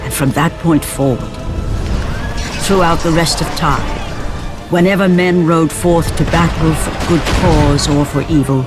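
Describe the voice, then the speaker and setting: A woman playing a grave narrator of an ancient myth, telling it in solemn, measured phrases for a video game. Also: deep voice. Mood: epic, solemn. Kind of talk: dramatic epic scripted narration. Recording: music underneath.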